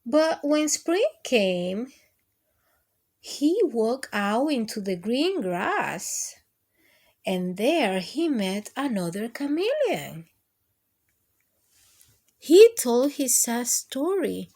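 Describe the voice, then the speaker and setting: A woman hosting children's storytime, reading picture books aloud in an expressive, sing-song way and putting questions to the children. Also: on the high side, very slow, long pauses.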